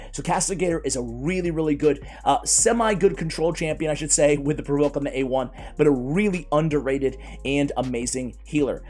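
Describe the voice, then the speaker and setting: A man reviewing video game characters in a casual, energetic way, his voice strained and worn from a cold. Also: on the high side. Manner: energetic, chatty, keeps addressing viewers as guys. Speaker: gaming YouTuber.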